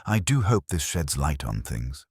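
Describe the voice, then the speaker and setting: A man voicing a prim, gossipy woman from an English village in a story, fluttery, breathy and self-important. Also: extremely low pitch, sing-song, a little slow, dry recording, clean studio sound, heavily acted. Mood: breathless.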